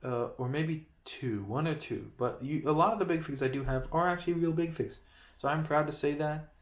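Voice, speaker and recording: A man's voice: a little high, a little fast. A man casually reviewing a toy building set he holds up to a camera, rambling, correcting himself and joking as he goes. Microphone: handheld camera close-up of toy.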